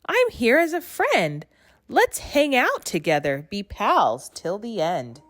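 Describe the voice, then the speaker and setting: Woman playing a little male mouse, storybook, high-pitched, squeaky, meek but plucky, courteous. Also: sing-song, slow pace, fairly bright tone, no echo, studio quality, exaggerated voice. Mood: friendly, reassuring. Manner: peeping, squeaky, polite.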